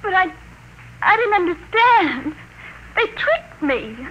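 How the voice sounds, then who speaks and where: Very high-pitched, frantic, tearful girl. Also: big pitch swings, slow, pushed and bright, muffled recording, full character voice. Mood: anguished pleading. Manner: tearful, breathless pleading. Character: frightened, pleading young woman, duped and distraught. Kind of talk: old-time radio melodrama acting. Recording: vintage radio recording with faint noise.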